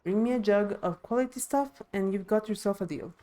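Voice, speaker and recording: A woman's voice: medium pitch, brisk, mellow, natural voice. A woman voicing a proud, hard-bargaining saddle merchant in a fantasy game, speaking in a firm, businesslike way. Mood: firm, haggling.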